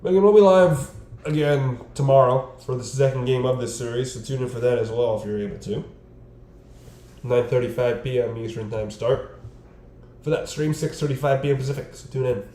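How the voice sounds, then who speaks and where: A man's voice: medium pitch, normal speed, dark tone. A man doing informal live baseball play-by-play for a stream, with casual, fan-like asides. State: bouts of coughing. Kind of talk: informal play-by-play commentary with chat. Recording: roomy echo, faint background noise.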